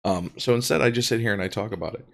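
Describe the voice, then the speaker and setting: A man in his thirties, a podcast host, asking long, halting interview questions with fillers. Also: on the low side, creaky, fairly bright tone. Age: 30s.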